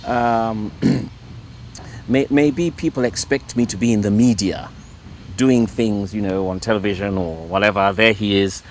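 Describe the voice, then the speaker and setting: A middle-aged man from Ireland talks in a rather low voice, stopping often and repeating himself as he searches for words. He speaks as a priest being interviewed in his own home, with faint traffic sounds behind him. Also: measured pace. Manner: hesitant, repeats words, many fillers. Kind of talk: relaxed conversational interview.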